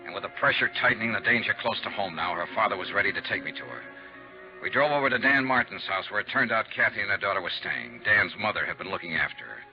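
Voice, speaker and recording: A tough, fair American investigator in a 1950s radio serial. His delivery is clipped and dramatic, with lively swings in pitch. The old transcription sounds dull, with faint music. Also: fast. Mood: terse, urgent. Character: tough but fair male investigator narrating his case. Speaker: lead actor and narrator.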